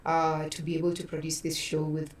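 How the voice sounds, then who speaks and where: A woman's voice: low-pitched, monotone, fairly quick. A woman with a Kenyan accent telling stories conversationally as an interview guest, acting out quoted remarks as she goes. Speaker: theatre producer, interview guest. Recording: roomy echo, distant microphone.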